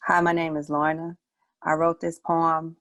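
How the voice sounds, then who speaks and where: A woman's voice: deep voice, little pitch movement, a little slow, fairly bright tone, no room sound, faint music behind. A woman reciting her own poetry as a spoken word piece. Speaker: poet, showcase participant.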